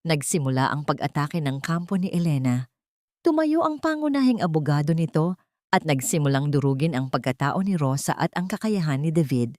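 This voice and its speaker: A woman's voice: low voice, big pitch swings, moderate pace, a little mellow, dry recording, clean studio sound. A woman giving dramatic scripted narration for an audio drama.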